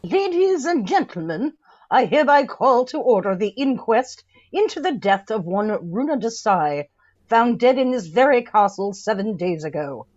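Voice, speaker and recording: A woman's voice: on the high side, big pitch swings, normal speed, a little mellow, highs cut off. A woman playing a formal, authoritative coroner questioning at an inquest in a period radio drama. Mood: official.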